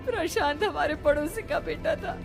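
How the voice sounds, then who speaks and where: A woman's voice: high voice, normal speed, gravelly, music in the background. A woman voicing a remorseful, pleading middle-aged mother in a dramatized moral horror story. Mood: tearful remorse. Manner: tearful, pleading, self-justifying.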